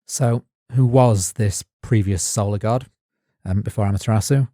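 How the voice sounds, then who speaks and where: A man co-hosting a podcast, reading his prepared notes as measured narration. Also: on the low side, dark tone, dry recording, studio quality. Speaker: podcast co-host. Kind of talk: scripted narration.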